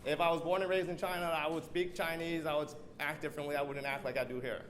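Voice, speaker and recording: A man's voice: fairly high pitch, steady tone, fast talker, a bit bright. A man from San Diego giving a candidate's closing remarks at a public forum in an earnest, repetitive way, over a mic in a large hall. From San Diego, USA. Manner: earnest, repetitive speech-making. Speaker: council candidate. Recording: noisy crowded hall.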